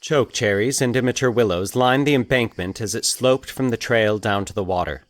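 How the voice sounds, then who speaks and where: A man narrating a scripted fiction story in a measured, literary storytelling way. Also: mid-range voice, no echo.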